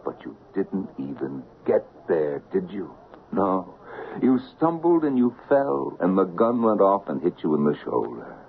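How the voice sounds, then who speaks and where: A deep, coaxing doctor. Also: male voice, wildly swooping pitch, unhurried, creaky, slight background noise, heavily acted. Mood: probing. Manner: gentle, coaxing, lilting storyteller delivery. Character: soothing, patronizing middle-aged male doctor. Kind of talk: 1970s radio drama acting. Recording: tinny old radio broadcast.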